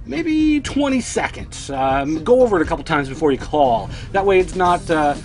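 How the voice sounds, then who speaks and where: A man's voice: a little high, big pitch swings, normal speed, a little mellow, faint music behind. A man hosting a toy collecting news show, chatting casually to viewers in a dry, sardonic way.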